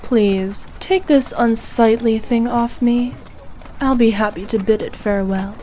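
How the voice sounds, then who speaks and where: A woman playing a haughty, ruthless evil queen who dotes on her favourite knight, speaking in a regal, imperious, measured manner for an ASMR roleplay. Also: a little high, warm tone, slight background noise, natural voice. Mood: teasing, commanding. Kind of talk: ASMR roleplay, scripted character acting.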